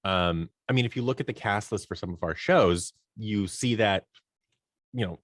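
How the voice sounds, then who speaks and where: A man's voice: on the low side, normal speed, a bit bright, no room sound, studio quality. A talkative man speaking as an interview guest, explaining from experience and voicing imagined bits of dialogue in a conversational way. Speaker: interview guest, audio drama producer and entrepreneur.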